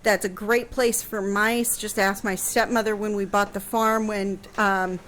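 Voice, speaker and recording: A woman explaining things conversationally on a radio show, thoughtful and pausing mid-phrase as she goes. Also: mid-range voice, flat delivery, fairly bright tone, slight background noise. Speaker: radio guest answering history questions.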